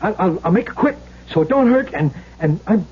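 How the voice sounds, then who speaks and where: An actor playing a nervous, weak-willed small-time crook in an old-time radio drama, husky, hesitant and stammering apologetically. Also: male voice, high-pitched, expressive, a little fast. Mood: anguished, hesitant. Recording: dull lo-fi old radio recording.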